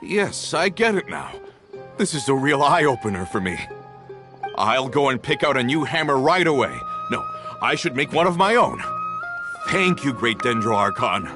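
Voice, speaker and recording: A man's voice: medium pitch, sing-song, normal speed, over music, close to an everyday voice. A man playing a weary, earnest, plain-spoken carpenter and family man in a video game. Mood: relieved, inspired.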